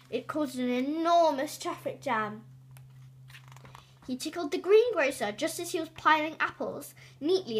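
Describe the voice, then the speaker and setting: A woman reading a children's storybook aloud expressively, with dramatic, drawn-out emphasis on key words. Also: high voice, fairly bright tone, breathy edge. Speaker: storybook reader.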